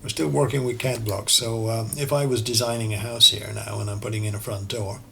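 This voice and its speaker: A man with an Irish accent teaching architectural CAD over a screen recording, relaxed and thinking aloud as he works, often correcting himself. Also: on the low side, brisk, gravelly. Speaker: architectural designer and CAD tutor. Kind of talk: screen-recorded software tutorial.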